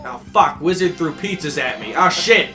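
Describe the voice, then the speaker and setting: A young man rambles through a profane, mocking rant while playing an old arcade game with a friend. His voice sits high and sounds bright and forward. Faint game music plays behind him. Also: lively intonation, normal speed. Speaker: let's player. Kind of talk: casual gameplay commentary.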